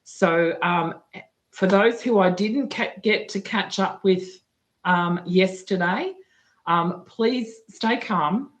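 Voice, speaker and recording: A woman with a husky, tired voice hosting a livestream news talk, chatty and full of fillers and restarts. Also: medium pitch, takes it slowly. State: husky voice from talking too much. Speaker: guest news presenter.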